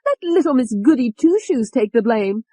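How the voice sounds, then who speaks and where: A woman's voice: very high-pitched, big pitch swings, normal speed, no echo, voice pushed up. A woman voicing a furious, taunting young woman with a sneering, explosive manner in an audiobook. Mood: sneering boast. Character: furious, taunting young British woman, a murderous alter personality.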